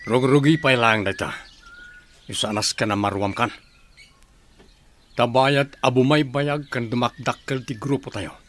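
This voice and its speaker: An actor playing a stern, impatient leader of a secretive group in an Ilocano radio drama. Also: male voice, mid-range voice, fairly even intonation, unhurried, long pauses, a bit bright, close to an everyday voice. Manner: commanding, impatient.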